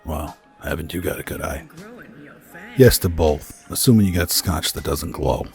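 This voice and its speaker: Man acting a deep-voiced, wry gumshoe. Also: moderate pace, gravelly, a little mellow. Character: world-weary male gumshoe detective in space. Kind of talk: radio drama acting. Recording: music behind the voice.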